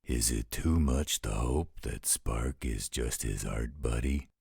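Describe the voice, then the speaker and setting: A man playing a gruff, overprotective, grumbling werewolf guardian with a gravelly, husky voice in a roleplay audio drama. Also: a bass voice, darkish tone, no room sound, clean studio sound, exaggerated voice. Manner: slow, grumbling, protective.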